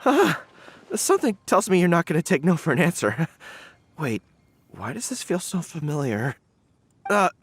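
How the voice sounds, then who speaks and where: Game casting: earnest young male general, high boyish voice, animated, modest, easily flustered. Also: breathy edge, no echo, exaggerated voice. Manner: earnest, excitable, slightly awkward. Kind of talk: video game cutscene acting.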